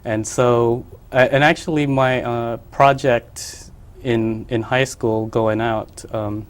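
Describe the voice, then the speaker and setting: Soft-spoken man with halting speech. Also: mid-range voice, steady tone, clean tone. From Philippines. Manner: hesitant, many fillers and restarts. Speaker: artist guest. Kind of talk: casual interview. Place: local cable TV studio.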